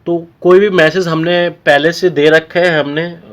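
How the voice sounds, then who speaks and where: A man's voice: a little high, steady tone, measured pace. A man with an Indian accent giving an informal technical tutorial, explaining step by step and often checking in with short tags. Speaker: technical instructor.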